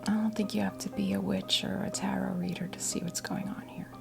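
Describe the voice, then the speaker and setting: An American woman in her fifties whispers in a soothing, halting, conversational way as she role-plays a tarot reading for ASMR. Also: mid-range voice, little pitch movement. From New Jersey, United States. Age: 50s. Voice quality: breathy whisper. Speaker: ASMR creator role-playing a witch tarot reader. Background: soft background music.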